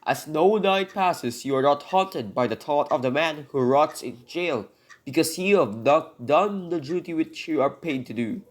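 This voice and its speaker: A man's voice: a little high, lively intonation, measured pace. A man acting as poor convicted burglar, male, embittered, earnest, formal wording, emphatic, radio drama monologue. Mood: pleading, bitter.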